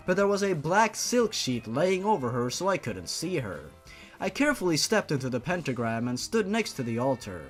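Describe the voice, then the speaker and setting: A man reads fan fiction aloud in a slightly high, very animated voice with music behind him. He stretches words for dramatic effect and slips into a mocking, sarcastic tone. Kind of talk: dramatic mocking story reading.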